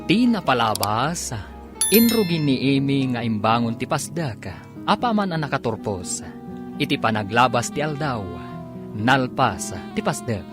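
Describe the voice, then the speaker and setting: A man with an Ilocano accent announces and narrates a radio drama. His mid-pitched voice swings expressively over prominent background music. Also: very animated, a little slow.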